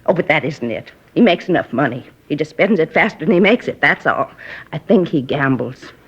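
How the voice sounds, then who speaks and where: A nosy, gossipy middle-aged neighbor, rambling and confiding, slightly breathy, old radio drama sound. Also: female voice, low voice, expressive, fairly quick. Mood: gossiping. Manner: chatty, rambling, confiding, folksy grammar. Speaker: apartment neighbor questioned by police. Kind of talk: 1950s radio drama acting. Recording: dull lo-fi 1950s radio recording.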